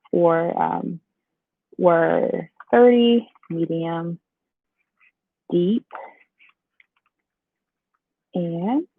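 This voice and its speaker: A woman's voice: lowish voice, husky, dry recording. A young woman from Chicago with a bit of Southern twang, chatting playfully with her viewers during a makeup livestream. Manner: chatty, playful, lively, talks to the chat. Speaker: beauty vlogger. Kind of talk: casual makeup tutorial livestream. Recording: dry phone-like audio.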